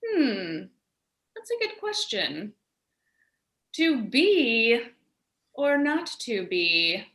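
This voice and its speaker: A woman's voice: on the high side, big pitch swings, very slow, fairly bright tone, reverberant, exaggerated voice. A woman playing a brooding prince as a chatty, perky neighbor in a comic parody radio ad. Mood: perky, curious.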